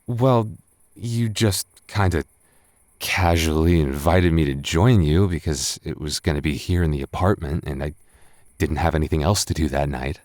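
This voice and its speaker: A man's voice: extremely low pitch, normal speed, a little mellow, no echo, clean studio sound. A young man acting a tipsy, flustered roommate hiding a crush in an intimate boyfriend roleplay, stammering and defensive in a conversational way.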